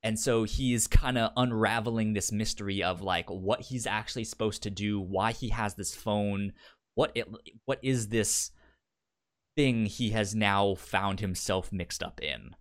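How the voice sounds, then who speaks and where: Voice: mid-range voice, unhurried. A podcast co-host chatting casually and recapping shows, often stammering and repeating words and syllables. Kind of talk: casual chat, pitching and recapping anime.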